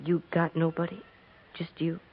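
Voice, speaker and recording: An actor playing an earnest, pleading young frontier farm boy with a rural American accent and a halting, folksy manner in a 1950s radio western. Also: fairly high pitch, monotone, slight background noise, close to an everyday voice. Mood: wistful. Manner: halting, folksy, stammering when pressed. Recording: dull 1950s radio recording.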